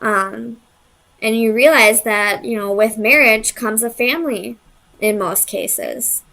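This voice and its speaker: A woman's voice: a little high, slow pace, creaky, bright, forceful tone, poor audio. Woman thinking aloud, casual and hesitant. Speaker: tarot reader. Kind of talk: casual conversational tarot reading.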